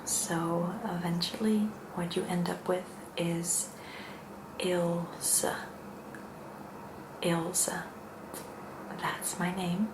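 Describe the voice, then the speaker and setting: A Dutch woman with a Dutch accent, speaking English as a second language in a gentle, hushed, soft-spoken ASMR style as she hosts. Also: fairly low pitch, fairly even intonation, slow pace, roomy sound, background noise. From Netherlands. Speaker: ASMR video host.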